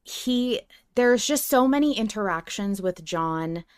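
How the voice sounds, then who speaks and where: A woman's voice: medium pitch, expressive, slow, smooth, no room sound. Woman cohosting a fan podcast, clean resonant voice, pretty dry recording, gushes and really stresses her points. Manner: effusive, emphatic. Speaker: podcast co-host. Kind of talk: casual fan discussion, analytical chat.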